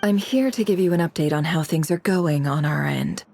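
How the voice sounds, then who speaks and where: A woman's voice: on the low side, normal speed, darkish tone, soft background music, natural voice. A woman playing a cool, shrewd intelligence broker in a video game, dry, confident and blunt. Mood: businesslike.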